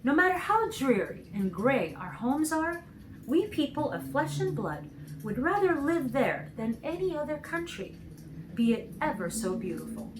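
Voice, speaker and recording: A woman reads as a homesick little storybook farm girl. She is plainspoken, firm and sincere, with a fairly high voice that moves freely up and down. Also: sing-song, unhurried, noisy background.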